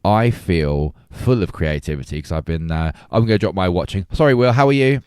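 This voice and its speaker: A man in middle age talks casually as a podcast co-host. His voice sits low and deep, and it is recorded dry, with hardly any room sound around it. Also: lively intonation, brisk, darkish tone, clean tone, dry recording. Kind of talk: casual chat.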